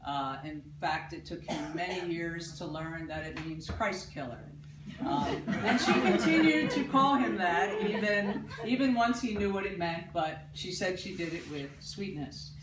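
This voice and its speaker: Loud woman from Paterson, New Jersey, spinning a live stage story; echoey hall, mic set back. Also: fairly low pitch, lively intonation, unhurried, background noise. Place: theatre stage with live audience. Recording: roomy, distant mic, audible ambience.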